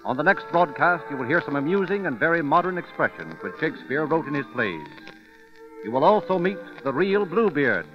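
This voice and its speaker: A man's voice: fairly high pitch, very animated, a little fast. A man announcing a radio show from a script in a 1940s broadcast style. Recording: dull vintage radio recording, music behind.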